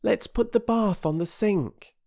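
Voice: medium pitch, measured pace, creaky, dark tone, voice pushed up. A narrator voicing an eager, chirpy young girl in a children's storybook. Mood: playful, bossy.

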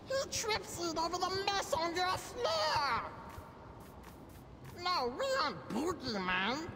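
A woman's voice: very high voice, animated, takes it slowly, background noise, full character voice. A woman voicing a cute, childlike little monster in a video game, talking in gleefully menacing baby-talk. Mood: teasing, menacing. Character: mischievous cute little childlike nightmare monster, playfully spooky. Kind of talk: video game character voice lines. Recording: game music behind the voice. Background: music.

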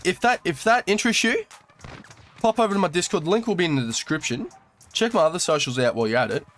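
A man's voice: sits high, expressive, fast, a bit bright, a little hiss or hum. A young Australian man with a slightly nasal voice from a cold, casually commentating over his gameplay in a crude, laid-back, swearing manner. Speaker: gaming YouTuber.

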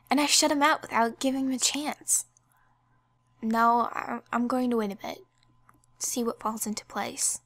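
Woman acting a high-voiced, gentle young friend. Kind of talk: fan audio drama acting.

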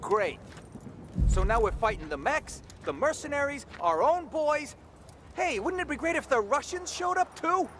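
Very high-pitched sarcastic, nervy soldier. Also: male voice, animated, moderate pace, a bit bright, slight background noise. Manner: sarcastic, anxious. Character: nervy, sarcastic young male soldier, doubting and wordy.

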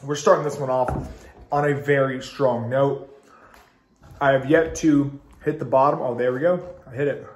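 A man's voice: medium pitch, steady tone, moderate pace, slight background noise. Congested chatty man in an echoey room. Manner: chatty and enthusiastic, talks to the camera. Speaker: ice cream reviewer. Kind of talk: casual video review. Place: small room at home. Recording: roomy home recording.

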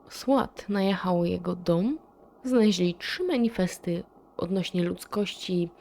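A woman giving casual reaction commentary, reading text aloud and thinking out loud in a chatty, inquisitive way. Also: lowish voice. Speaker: video creator reacting to analog horror.

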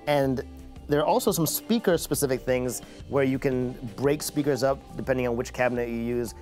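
A man's voice: mid-range voice, a little fast, a little mellow, faint music behind. A man, hosting a gear review in a conversational way. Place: small acoustically treated studio, indoors.

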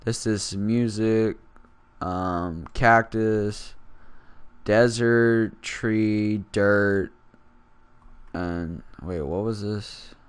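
Teenage boy, low voice, raspy from sleep, rambling casual game commentary, dry close sound. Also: extremely slow, no echo. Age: teens. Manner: rambling, self-deprecating, frequent fillers. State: raspy, just woke up. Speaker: gaming channel host.

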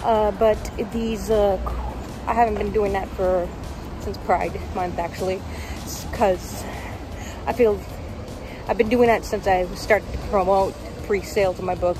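Young woman doing a chatty walk and talk vlog outside, medium pitch, music playing behind her. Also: low-quality recording. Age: young adult. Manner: chatty, informal, jokey asides. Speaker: vlogger and author. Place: outdoors on city streets, walking.

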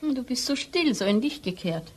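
A woman's voice: a little high, animated, moderate pace, slightly rough. An actress playing a doting, prying older mother in a crime radio drama, solicitous in manner.

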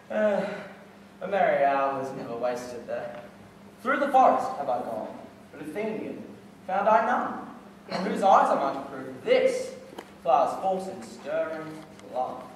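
A man's voice: sits high, exaggerated voice. Mischievous young male sprite played by a teenage boy, dramatic Shakespearean stage delivery, recorded from far off in a reverberant, noisy hall. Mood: searching, scheming. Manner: declamatory, playful, dramatic. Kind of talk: theatrical Shakespeare stage monologue. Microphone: distant camera or microphone.

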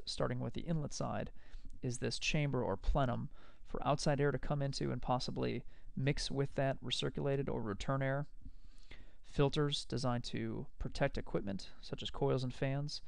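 Male instructor, gravelly edge, close and dry voice-over, steady technical delivery. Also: medium pitch, no room sound. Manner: explanatory, measured, technical. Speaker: lecturer. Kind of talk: recorded slide lecture.

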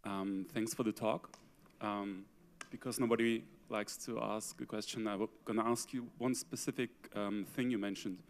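A man's voice: fairly low pitch, steady tone, moderate pace. A man in the audience asking a question, hesitant with many fillers. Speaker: audience member.

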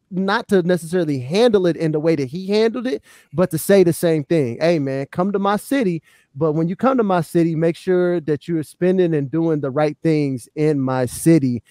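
Podcast co-host, man, mellow tone, dry close sound, emphatic and casual. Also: on the high side, no echo. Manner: emphatic, many "you know what I'm saying" fillers. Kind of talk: casual hip hop podcast chat.

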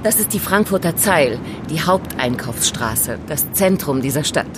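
Casting: elegant young woman informant, very low voice, calm, knowing and teasing, for radio drama; noisy rumbling backdrop. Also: a little slow. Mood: explaining, confident. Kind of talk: German audio drama acting.

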